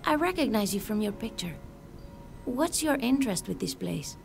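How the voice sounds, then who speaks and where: Wry confident local researcher lady in a game, bit of vocal fry, soft music behind. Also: on the high side, very animated, normal speed. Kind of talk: video game dialogue.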